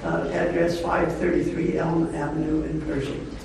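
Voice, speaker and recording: Older woman with a hoarse, raspy voice reading a formal resident statement; heard from a distance in a reverberant meeting hall with faint hum. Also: low-pitched, fairly even intonation. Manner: measured, formal, clears throat often. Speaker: resident giving public comment. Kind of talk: reading a prepared statement at a public hearing. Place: large public meeting hall. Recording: echoey hall, distant mic, faint noise.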